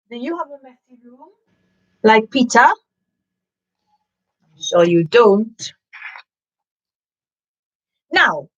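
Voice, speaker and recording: Female children's story host: higher-pitched, animated and unhurried, expressive reader who talks directly to her listeners. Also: low-quality recording. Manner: animated storybook delivery with sniffing and asides to listeners. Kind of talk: live chat and expressive picture-book reading.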